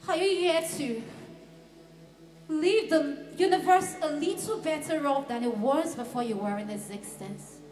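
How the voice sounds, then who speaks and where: An actress playing a serene, cryptic being from another world in a solemn dramatic monologue, with deliberate, portentous pauses. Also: female voice, sits high, darkish tone. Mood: solemn, probing. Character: serene otherworldly female being, cryptic, questioning humanity. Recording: roomy echo with faint music behind.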